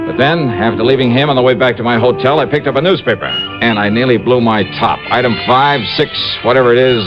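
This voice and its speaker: An American actor playing a wisecracking, easily smitten investigator in an old radio drama, wry and hard-boiled, halting when flustered. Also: male voice, medium pitch, lightly acted. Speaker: lead actor and narrator. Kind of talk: 1950s radio detective acting, first-person narration. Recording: muffled old radio recording.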